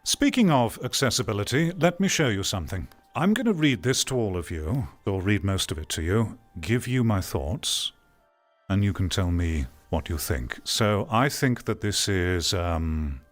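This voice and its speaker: A man's voice: on the low side, expressive, moderate pace, soft background music. A man giving a blunt, emphatic opinion monologue as an online host, repeating phrases for stress. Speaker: host, online commentator and streamer.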